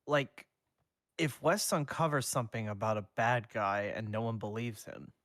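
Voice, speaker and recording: A man's voice: on the low side, normal speed, fairly bright tone, no room sound, clean studio sound, natural voice. A man reading the part of a wary, blunt teenage boy ghost hero in a fan story, with sceptical and exasperated lines.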